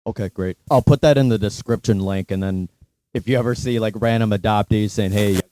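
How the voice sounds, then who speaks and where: A man's voice: lowish voice, fairly quick, dark tone, slight background noise. A man hosting a video-call interview, speaking casually and effusively with repeated words and restarts. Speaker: interviewer, host.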